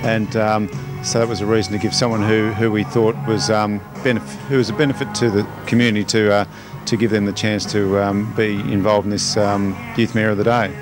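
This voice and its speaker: A man with an Australian accent answering as a local mayor in an interview, halting and repeating words. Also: lowish voice, level tone, over music.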